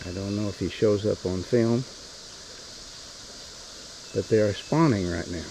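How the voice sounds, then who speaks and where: Mellow-voiced man narrating outdoors. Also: lowish voice, unhurried, gravelly, poor audio. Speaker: angler filming his fishing trip. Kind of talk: casual fishing vlog narration. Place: outdoors in a float tube on a creek. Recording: outdoor creek noise with insects. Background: insects and natural outdoor ambience.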